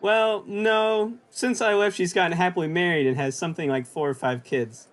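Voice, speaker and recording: Man as high-voiced cocky young veteran. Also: normal speed, lightly acted. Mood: boastful. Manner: cocksure, boastful. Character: cocky, scheming young male war veteran. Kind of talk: old-time radio sketch comedy acting.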